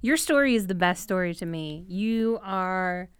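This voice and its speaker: A woman's voice: fairly low pitch, animated, measured pace, clear, resonant tone, no echo. Enthusiastic woman co-hosting, very dry sound. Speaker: podcast co-host, medical student. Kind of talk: casual chat.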